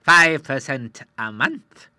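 A man voicing a shrewd middle-aged moneylender who talks in a slow, measured, coolly persuasive way. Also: medium pitch, big pitch swings, sharp tone, clean tone, dry recording, clean studio sound, heavily acted.

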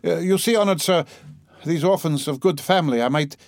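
A man voicing a distraught, haughty poor gentlewoman in an audiobook, wailing and rambling between lofty pleas and scolding. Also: on the high side, brisk, no room sound, clean studio sound, pitched above the performer's own voice, natural voice. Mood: lofty, pleading. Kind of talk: dramatised audiobook character reading.

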